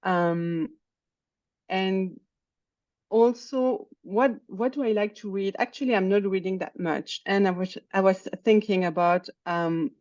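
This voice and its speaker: A woman's voice: medium pitch, unhurried, darkish tone, no echo, lo-fi sound. A woman whose first language is French, talking hesitantly in an online English lesson and often searching for words. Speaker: English student. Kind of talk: conversation practice in an online lesson. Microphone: video call.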